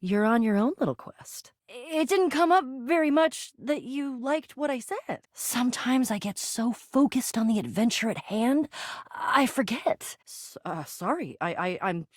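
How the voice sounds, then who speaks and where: A woman's voice: fairly high pitch, expressive, unhurried, no room sound, studio quality. A woman voicing an eager, quest-loving young female adventurer in a video game, slangy and prone to stammering. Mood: hurt, cold, then flustered, hesitant. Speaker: voice actor in a dating game. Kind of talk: scripted video game character lines.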